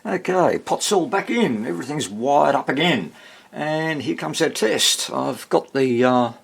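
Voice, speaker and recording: A man's voice: on the high side, expressive, unhurried, gravelly, slightly rough. A man giving casual, unscripted commentary at a workbench, rambling and thinking aloud as he works on a repair. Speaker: hobbyist radio restorer presenting own repair. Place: indoor workbench.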